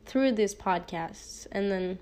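A woman's voice: mid-range voice, slow pace, a little mellow. A sixteen-year-old girl from Norway with a Norwegian accent, hosting a casual solo podcast, rambling with lots of fillers and self-conscious asides. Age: teen. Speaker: podcast host.

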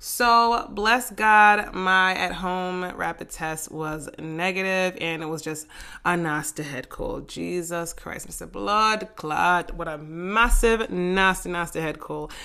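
A woman hosting a casual solo podcast from her living room, raspy and congested from a head cold, sassy and slangy with frequent laughs. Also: lowish voice, takes it slowly, pushed and bright. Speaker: podcast host.

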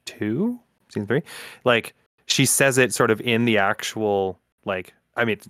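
A man's voice: lowish voice, measured pace, fairly bright tone, no room sound, clean studio sound. A man co-hosting a casual chat, talking thoughtfully with hesitant fillers and restarts. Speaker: podcast co-host.

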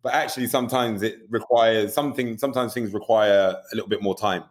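A man's voice: fairly low pitch, steady tone, quick pace. A man being interviewed about directing music videos, talking candidly and animatedly, often asking "you know what I mean" and swearing casually.

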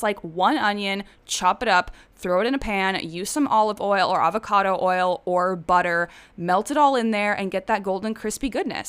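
Female vlogger, 30s, from the Czech Republic. Clean, smooth tone, medium pitch, chatty and unguarded storytelling. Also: a bit bright. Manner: chatty, candid, rambling, swears casually. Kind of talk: casual personal storytelling to viewers.

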